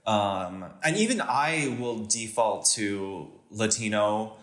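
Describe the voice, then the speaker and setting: A man's voice: lowish voice, animated, slow pace, a bit bright, roomy sound. A young man from Panama with an American, Californian accent, speaking as a guest in a casual conversation, hesitant with many fillers and restarts.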